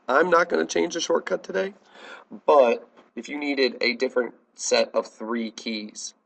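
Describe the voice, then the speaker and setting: A man's voice: medium pitch, vocal fry, slightly rough, poor audio. American man giving step-by-step instructions. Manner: plain, step-by-step explaining. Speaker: teacher giving a software tutorial. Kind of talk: instructional screencast narration.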